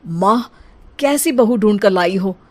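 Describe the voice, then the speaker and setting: A woman's voice: mid-range voice, expressive, a little slow, mellow. A woman voicing a tired young husband in a cartoon story, grumbling and exasperated. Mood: complaining.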